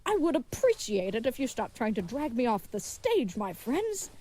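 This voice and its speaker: A woman's voice: on the high side, big pitch swings, normal speed, warm tone. A woman playing a tall, earnest, dim-witted knight in a comedic fantasy audio drama, rambling with naive, formal courtesy. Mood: politely protesting.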